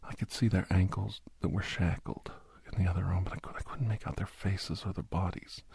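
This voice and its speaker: A man playing a grave, haunted doctor and war veteran in an ASMR horror audio drama, speaking slowly in a breathy, husky hush that often slips toward a whisper. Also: sits low, steady tone, no echo, heavily acted. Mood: fearful, haunted. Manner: slow, halting, hushed, often slipping into whisper.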